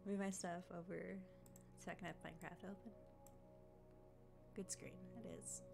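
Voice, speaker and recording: A woman live-streaming a game, chatting casually with fillers and thanking followers, her voice slightly raspy as she comes down with a cold. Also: fairly low pitch, normal speed, over music. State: coming down with a cold.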